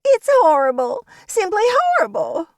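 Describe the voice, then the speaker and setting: A high, shrill, simpering old lady, all false tears and theatrical sighs. The voice sits far above the reader's own and has a strained, put-on edge. The recording is dry and close. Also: wildly swooping pitch, unhurried, dry recording, exaggerated voice. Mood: simpering, fake-tearful. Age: older. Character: gossipy, sanctimonious old woman, falsely tearful.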